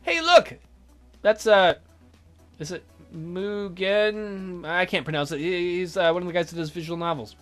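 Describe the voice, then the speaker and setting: A man hosting a let's play, reading a visual novel aloud with expressive, wisecracking commentary. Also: high voice, moderate pace. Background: music.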